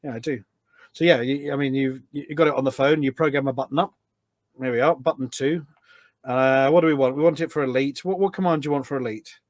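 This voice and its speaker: A man's voice: medium pitch, steady tone, a little fast, no room sound, studio quality. A man talking enthusiastically in a podcast interview as a guest, explaining things step by step and often posing questions as he goes. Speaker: podcast guest, voice pack developer.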